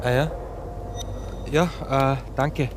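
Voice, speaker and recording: Male actor as hesitant accomplice, medium pitch, Austrian accent, uneasy halting bluffer in a scored radio drama. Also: fairly even intonation, over music, lightly acted. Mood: awkward bluffing. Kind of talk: radio drama acting, colloquial.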